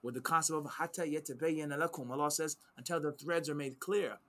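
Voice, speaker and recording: A man teaching religious principles in a sit-down conversation, his voice pitched a little high and pushed with a tense, forceful edge. He leans on key phrases, repeating them for weight, and weaves in Arabic quotations as he explains. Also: a little fast. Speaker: Islamic teacher answering questions.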